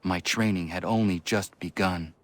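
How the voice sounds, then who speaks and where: Earnest, low-voiced young warrior. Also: male voice, fairly even intonation. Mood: solemn, reflective. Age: young adult. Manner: earnest, measured, formal phrasing. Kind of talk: video game voice acting, dialogue and narration.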